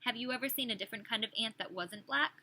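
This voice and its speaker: A woman's voice: on the high side, quick pace, sharp tone. A woman preschool teacher reading a picture book aloud expressively, with questions and chatty asides for small children.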